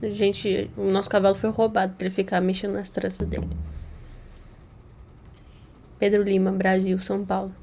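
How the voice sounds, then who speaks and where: A woman's voice: medium pitch, monotone, quick pace, gravelly, mellow. A Brazilian woman chatting casually and playfully as she reacts to a horror video, joking and exaggerating. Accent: Brazilian Portuguese.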